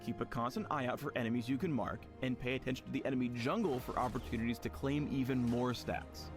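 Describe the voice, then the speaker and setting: A man narrates a scripted game guide in a mid-range voice. His phrasing is playful and a little wry as he walks through tips like a tutorial narrator. Music plays clearly underneath him. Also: mid-range voice, fairly even intonation, quick pace. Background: music behind the voice.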